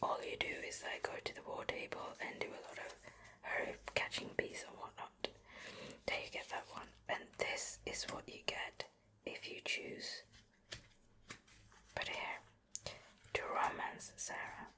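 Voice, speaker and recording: A native Swedish-speaking woman whispering a soft, breathy ASMR show and tell in a casual, rambling, hesitant way. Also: a little slow, long pauses. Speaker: ASMR video host.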